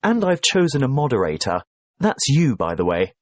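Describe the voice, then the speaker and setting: A man voices a professor explaining things to his students in a brisk, assured way. The pitch moves a lot, and the sound is dry and close. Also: big pitch swings, no echo, lightly acted. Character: male professor guiding students, calm and brisk. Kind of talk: scripted exam dialogue.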